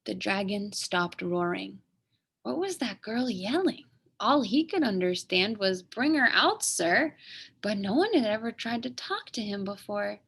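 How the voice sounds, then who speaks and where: A woman reading a picture book aloud to children as an expressive, dramatic storyteller with emphatic pauses. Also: mid-range voice, vocal fry, slight background noise.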